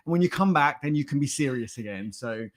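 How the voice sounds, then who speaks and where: A man's voice: medium pitch, lively intonation, quick pace. A man giving a conversational talk to a livestream audience in a jokey, rambling, informal way. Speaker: conference speaker.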